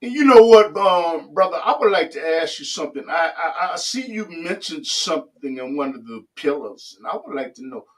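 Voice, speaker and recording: A male host asks earnest questions and often affirms what his guest says. His voice is somewhat high and sounds a little far from the microphone. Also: expressive, moderate pace, few pauses. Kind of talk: interview questions.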